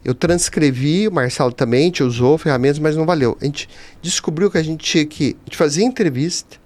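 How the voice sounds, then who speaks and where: A man's voice: a little high, fairly quick, darkish tone. A Brazilian man in his fifties answering conversationally as a podcast guest, explaining things emphatically with rhetorical asides. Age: 50s. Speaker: podcast guest, teacher and writer.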